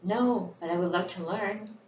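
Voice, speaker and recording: A woman voicing a gentle, polite and eager little girl from a children's storybook. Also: medium pitch, measured pace, close to an everyday voice. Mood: welcoming. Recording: roomy, muffled, with faint background noise.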